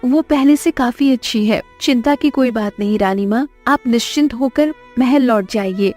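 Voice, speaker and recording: A woman's voice: fairly high pitch, moderate pace, a little mellow, soft background music, lightly acted. A woman voicing a possessive, anxious fisherman's wife and mother in a Hindi cartoon story, emotive and insistent. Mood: guarded reassurance.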